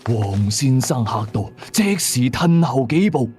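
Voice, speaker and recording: A man with a Hong Kong Cantonese accent tells a horror story in the first person, in a suspenseful, colloquial, expressive way. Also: mid-range voice, measured pace. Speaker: ghost story narrator. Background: music behind the voice.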